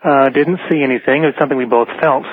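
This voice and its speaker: Male caller, mid-pitched, matter-of-fact but tentative storyteller, dry narrowband telephone audio. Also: fast talker, no room sound. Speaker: radio show caller. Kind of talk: unscripted personal anecdote. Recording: narrow, dry phone line.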